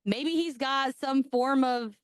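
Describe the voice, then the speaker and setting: A woman's voice: high-pitched, slow, smooth, dry recording, clean studio sound. A woman co-hosting a podcast, telling a story in casual chat and cracking crude jokes with a laugh. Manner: bawdy, joking, laughs often. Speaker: podcast co-host.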